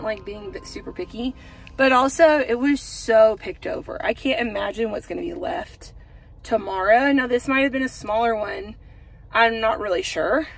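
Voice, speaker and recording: Woman, 30s, casual vlogger; chatty and filler-heavy, slightly high-pitched, filmed on a phone in a car with light music behind. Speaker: home decor vlogger. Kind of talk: casual chat to camera. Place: parked car. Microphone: phone camera at arm's length.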